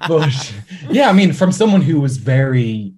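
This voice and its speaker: A man's voice: fairly high pitch, big pitch swings, a little slow, a little mellow. A young Irish man who makes content, chatting as an interview guest with sarcastic, self-mocking humor. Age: young adult. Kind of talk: casual podcast interview.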